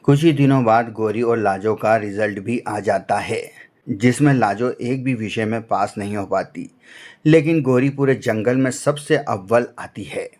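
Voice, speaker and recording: A man giving scripted narration for an animated children's story. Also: on the low side, unhurried.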